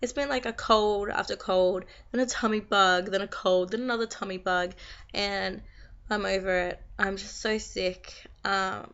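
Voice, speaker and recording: A young Australian woman with a croaky voice from being sick, chatting to camera in a tired, rambling, venting way. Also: medium pitch, fairly bright tone. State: croaky from a long cold. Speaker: vlogger. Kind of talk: casual chat to camera. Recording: slightly dull lo-fi recording.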